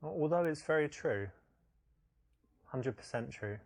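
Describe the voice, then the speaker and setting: A man's voice: fairly high pitch, expressive, takes it slowly, creaky, exaggerated voice. An actor playing a blunt male dating coach who critiques the player's choices in a video game. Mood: critical.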